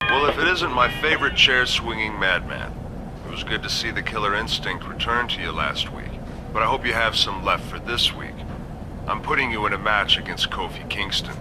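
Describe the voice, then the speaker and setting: A man's voice: on the low side, moderate pace, heavy background noise, lightly acted. An actor playing a smug, scheming general manager in a wrestling video game, taunting as he speaks. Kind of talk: scripted wrestling game cutscene acting.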